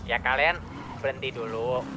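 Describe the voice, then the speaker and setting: A man's voice: medium pitch, fairly even intonation, moderate pace, over loud music. A man giving casual instructions to fellow gamers. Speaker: gamer giving group orders. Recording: very noisy game voice chat.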